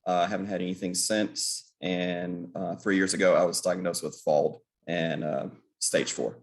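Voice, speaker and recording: A man in his late thirties introducing himself informally as a panelist, in a halting way. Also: low voice, steady tone, vocal fry. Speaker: patient panelist.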